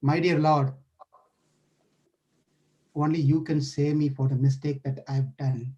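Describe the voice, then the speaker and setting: A man's voice: on the high side, flat delivery, unhurried, dark tone, highs cut off, pitched below the performer's own voice, lightly acted. A man voicing a frightened, hot-tempered old sage who pleads for help, in a devotional story. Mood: fearful, pleading. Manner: pleading, fearful.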